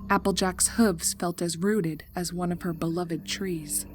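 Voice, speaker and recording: A woman narrator reading a scripted horror story in a measured, dramatic way. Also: on the low side, fairly even intonation, faint music behind.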